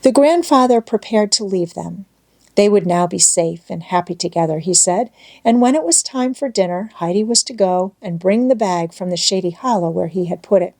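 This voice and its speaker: A woman's voice: mid-range voice, normal speed, a little mellow. A woman reading a children's storybook aloud as scripted audiobook narration.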